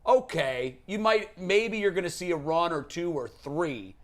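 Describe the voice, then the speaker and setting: A man's voice: a little high, lively intonation, a little slow, fairly bright tone. An American man on a sports show panel, leading up to interview questions conversationally.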